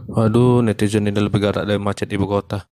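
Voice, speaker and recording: A man voicing a male monkey stand-up comedian in a cartoon who is running for mayor, telling jokes in a deadpan, even way. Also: lowish voice, fast talker, dry recording, lightly acted.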